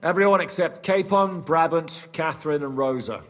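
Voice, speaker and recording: An actor playing a practical, plain-spoken leader of a medieval band in a naturalistic game cutscene. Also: male voice, fairly high pitch, moderate pace, natural voice. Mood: brisk, instructing. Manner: blunt, matter-of-fact.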